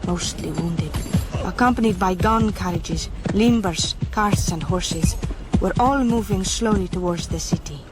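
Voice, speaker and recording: A woman's voice: on the low side, measured pace, lightly acted. A woman acting a devout, devoted young Spanish officer's wife in a radio drama. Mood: weary, sorrowful. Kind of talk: radio drama narration and dialogue. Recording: music behind the voice.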